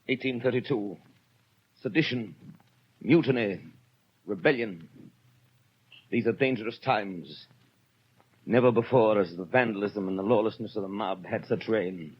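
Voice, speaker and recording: An actor playing a stern, vengeful police inspector in a grave, declamatory 1930s radio drama style. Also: male voice, lowish voice, unhurried, long pauses, slightly rough. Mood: grim, vigilant. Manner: grave, declamatory, clipped pronouncements. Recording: muffled 1937 radio broadcast, music behind.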